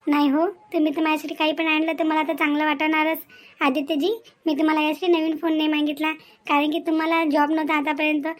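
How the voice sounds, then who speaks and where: A woman voicing a modest, considerate young wife in a cartoon family drama, gentle and deferential, with a Maharashtrian Marathi accent. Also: sits high, level tone, quick pace, close to an everyday voice. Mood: touched, earnest.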